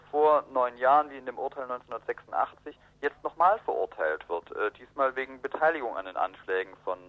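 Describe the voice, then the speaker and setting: A male journalist gives emphatic, opinionated answers in an interview. His voice sits at an average pitch, and the recording is dull and very dry, like lo-fi radio. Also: no room sound. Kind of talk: spoken interview commentary.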